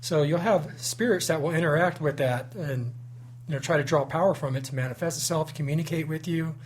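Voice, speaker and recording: Male paranormal investigator guest, higher voice, earnest and explanatory, faint hum. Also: a little fast. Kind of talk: casual radio interview.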